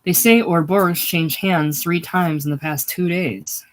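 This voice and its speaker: A man's voice: a little high, steady tone, unhurried, close to an everyday voice. A man voicing an ailing, matter-of-fact infantryman who talks plainly and offhandedly to his comrade in a story reading.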